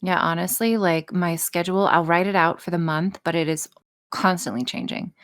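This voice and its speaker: Female podcast guest, slightly low voice, candid, sweary, self-mocking casual talk. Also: moderate pace, a bit bright. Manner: self-deprecating, swears freely. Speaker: podcast guest, audio creator.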